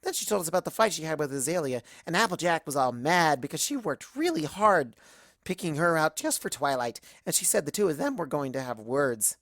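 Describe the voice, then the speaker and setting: A woman acts out a hyper, cheerful cartoon pony telling a rambling, gossipy story. The delivery is exclamatory and swings widely in pitch. The sound is dry, with hardly any room. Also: big pitch swings, fairly quick, no echo, full character voice. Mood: chatty, rambling. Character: hyper, scatterbrained, cheerful young female cartoon pony narrator. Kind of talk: first-person comedic fan fiction narration.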